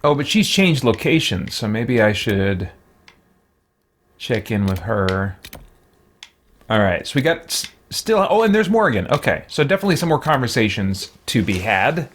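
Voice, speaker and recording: A man's voice: mid-range voice, very animated, moderate pace, a bit bright, a little hiss or hum. A man in his thirties giving casual let's play commentary on a headset in a small room. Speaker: streamer playing a role-playing game. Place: small indoor room with bookshelves.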